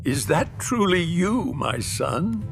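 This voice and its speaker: An actor plays a loving old woodcarver from a fairy-tale storybook. The voice is weak and gravelly, drawn out slowly and tenderly, with swooping pitch over clear background music. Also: very animated, heavily acted. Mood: weak disbelief. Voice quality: weak, frail.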